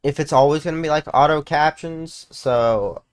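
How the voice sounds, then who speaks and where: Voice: mid-range voice, moderate pace. A reaction video host giving casual, rambling commentary peppered with "like". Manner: rambling, frequent use of like.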